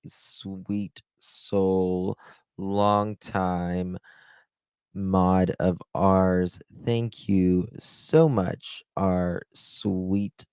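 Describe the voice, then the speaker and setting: A low-pitched man talks unhurriedly to his live-stream audience, chatty and self-deprecating, reading out names from chat and thanking them. His voice sits deep and the sound is dry and close, with no room around it. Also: little pitch movement, very slow, darkish tone, clean tone, no room sound. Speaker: livestream host.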